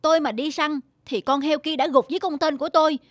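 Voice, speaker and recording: A woman voicing a dramatic, boastful, guilt-ridden young male hunter in an animated folk tale, given to exclaiming and talking to himself. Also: high-pitched, fast, dry recording, studio quality, close to an everyday voice. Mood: scornful, boastful. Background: music.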